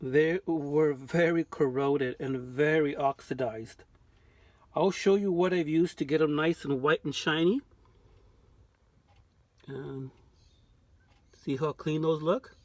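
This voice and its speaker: A man giving an unscripted show-and-tell of his restoration work in a home workshop, relaxed and full of fillers and restarts. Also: a little high, takes it slowly, long pauses, dry recording. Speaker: vintage radio restorer.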